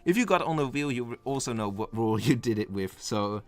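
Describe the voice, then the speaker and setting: A man's voice: medium pitch, expressive, a little fast, a little mellow, faint music behind. A young man, a native Dutch speaker, streaming live in casual chat, rambling and thinking aloud as he reads the chat and lists game roles.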